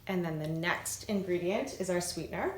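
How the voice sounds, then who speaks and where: A woman leading worship with a guided, hands-on prayer, giving gentle, measured instructions with reflective pauses. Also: lowish voice, lively intonation. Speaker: worship leader. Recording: roomy echo, noise, kitchen clinking.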